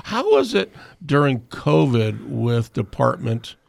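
A man's voice: medium pitch, very animated, slow pace, no echo. A man, hosting a casual radio talk show with jokey interjections. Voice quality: raspy. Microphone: studio microphone.

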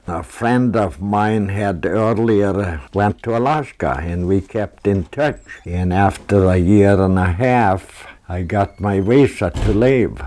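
Older Norwegian man with a low voice. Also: a little mellow. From Norway. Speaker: interview guest, retired fisherman and trapper. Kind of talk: oral history reminiscence.

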